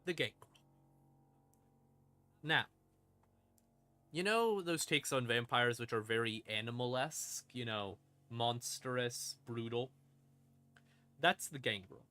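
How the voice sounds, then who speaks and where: A man hosting a stream and explaining game lore in a casual monologue, with theatrical, deliberate pauses between short phrases. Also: mid-range voice, expressive, pushed and bright. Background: ambient music in the background.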